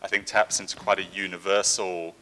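A man's voice: deep voice, little pitch movement, brisk, bright, forceful tone, poor audio. A man moderating a film Q&A on a cinema stage, asking polite, enthusiastic questions into a handheld mic. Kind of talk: prepared interview questions. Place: cinema stage with seated panel.

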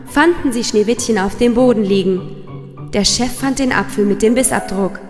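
Higher-voiced woman storyteller. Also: normal speed, darkish tone. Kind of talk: scripted children's fairy tale narration. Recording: long reverb, music behind.

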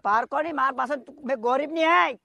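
Angry woman arguing on a phone call, high gravelly voice, keeps repeating insults. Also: moderate pace, a crowd in the background. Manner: scolding, abusive, repetitive. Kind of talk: heated argument on a phone call.

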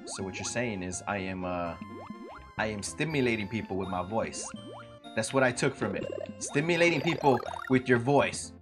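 Young dude streaming a game, swears a lot, rambles to chat, bit of music under it. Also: male voice, fairly low pitch, expressive, normal speed. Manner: loose, profane, talks to chat, jokes around. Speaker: streamer playing a video game. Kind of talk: casual live-stream chat. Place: home room, seen on webcam.

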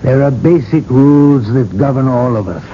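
Radio play role: man playing elderly cardinal, measured, persistent, wryly needling, on a dull vintage broadcast. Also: lightly acted. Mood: grave, admonishing. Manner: measured, rhetorical, gently needling. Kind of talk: radio drama acting. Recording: muffled vintage radio broadcast, noisy rumble.

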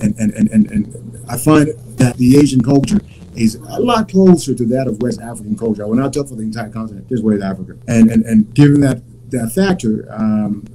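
A man's voice: mid-range voice, warm tone, a little hiss or hum, low-quality recording. A Liberian-born man on a video call, talking casually as a guest and rambling, with repeated words and lots of fillers. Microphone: laptop webcam on video call.

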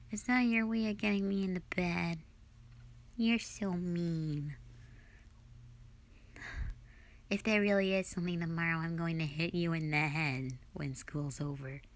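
A young woman playing a sulky, dramatic tsundere gamer girlfriend in an audio roleplay, with a whiny, pouty, drawn-out delivery. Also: fairly low pitch. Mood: grumbling. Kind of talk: roleplay audio drama, intimate one-sided dialogue.

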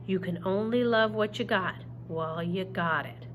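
A woman voicing a gentle old woman, hushed. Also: medium pitch, normal speed, background noise, close to an everyday voice. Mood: tender. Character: elderly woman, firm yet tender.

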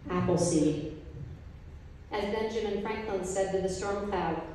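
A middle-aged woman recites a poem at a gallery gathering, taking each line slowly and evenly. Her mellow voice rings through an echoey room with a long reverb tail. The mic picks her up from some distance. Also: medium pitch, noisy background. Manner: slow, measured recitation from paper pages. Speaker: poet reading a group poem. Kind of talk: poetry reading at a gallery event. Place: art gallery, indoors, mid-sized room. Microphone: handheld mic, filmed from a distance.

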